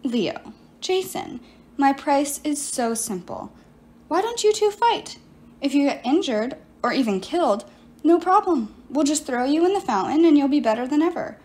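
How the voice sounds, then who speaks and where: Lady doing a high silky sorceress, scheming and self-pitying, coaxing everyone. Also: sing-song, moderate pace, warm tone. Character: scheming ancient sorceress, silken and vengeful. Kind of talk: audiobook character voice.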